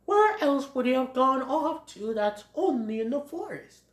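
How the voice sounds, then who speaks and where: A woman's voice: sits high, big pitch swings, unhurried, pitched above the performer's own voice. A woman voicing an eager, determined and friendly male talking train in a storybook tale, speaking emphatically. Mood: dawning realisation. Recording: echoey room.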